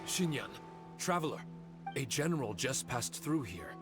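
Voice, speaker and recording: Man acting an odd little warrior creature, medium pitch, puzzled, halting, forgetful, game dialogue over clear music. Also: big pitch swings, lightly acted. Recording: music behind the voice.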